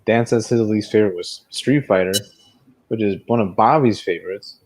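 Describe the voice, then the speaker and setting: A man's voice: lowish voice, normal speed, darkish tone, poor audio. A man in casual conversation as a podcast co-host, talking in a joking, emphatic way. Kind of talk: casual chat.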